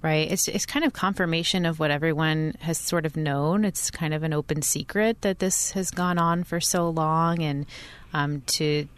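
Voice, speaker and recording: A woman reports the news as an interview guest. Her voice sits very low for a woman. She explains in a conversational way, pausing often to hesitate. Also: fairly even intonation, clear, resonant tone, no room sound.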